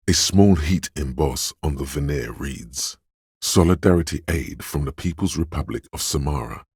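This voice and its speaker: An actor voicing the observant inner voice of a detective in a game, speaking in second person with wry, dry teasing. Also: male voice, a bass voice, slightly rough, no room sound, full character voice. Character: inner perceptive voice, observant adult male.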